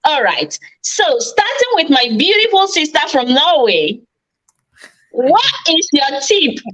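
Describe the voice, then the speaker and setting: A woman's voice: high-pitched, sing-song, takes it slowly, a bit bright, low-quality recording. A woman hosting a live online chat over video, upbeat as she invites viewers to join in. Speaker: host.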